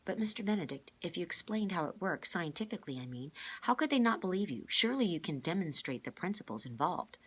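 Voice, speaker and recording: A woman's voice: lowish voice, sing-song, fast, hardly any pauses, husky, pitched above the performer's own voice. A woman voicing an earnest, polite, clever young boy with a questioning manner, reading from a storybook. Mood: puzzled. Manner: questioning, reasoning.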